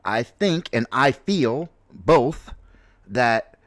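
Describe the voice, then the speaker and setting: An American man in his late forties talks through his personal views as a streamer would. His delivery is slow and halting, with reflective repetition for emphasis. The sound is dry and close, with very little room in it. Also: medium pitch, animated, a bit bright, dry recording. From New York City, United States. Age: late 40s. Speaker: streamer, content creator. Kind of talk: unscripted personal opinion monologue.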